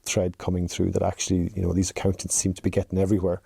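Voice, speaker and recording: Deep, mellow Irish man, gravelly edge. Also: completely flat delivery, quick pace, no echo, clean studio sound. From rural Ireland. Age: 40s. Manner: chatty, anecdotal. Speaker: podcast guest, chief executive. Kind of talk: conversational interview answers.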